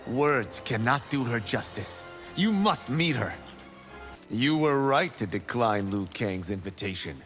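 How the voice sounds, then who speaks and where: A man's voice: mid-range voice, lively intonation, measured pace, a bit bright, faint music behind. A man playing a playful, cocky warrior in a fighting game, with a smug, boastful delivery. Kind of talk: fighting game dialogue acting.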